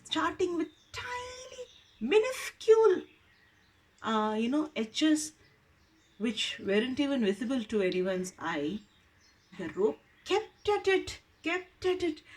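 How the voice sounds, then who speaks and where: Slow, high-pitched woman telling a story. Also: very animated. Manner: emphatic, repeats phrases for effect. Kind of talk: informal oral storytelling.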